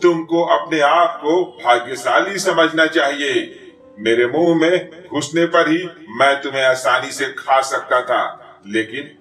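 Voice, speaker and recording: A man voicing a proud, selfish tiger in an animated children's fable. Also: on the high side, a little slow, faint music behind. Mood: arrogant, dismissive. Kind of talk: children's cartoon voice acting. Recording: distant, echoey voice recording.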